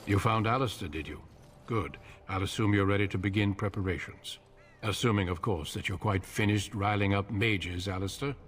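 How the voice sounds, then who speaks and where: An actor playing a stern, composed veteran warrior commander in a fantasy game, speaking in a measured, formal way. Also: male voice, fairly low pitch, a bit bright, faint music behind, close to an everyday voice. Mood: dry rebuke.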